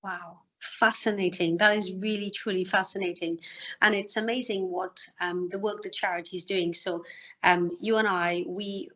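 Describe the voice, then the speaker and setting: Woman host, average pitch, chatty, says um a lot. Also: fairly even intonation, normal speed, a bit bright, low-quality recording. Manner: hesitant, frequent um fillers. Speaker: podcast host, charity trustee. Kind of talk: conversational interview.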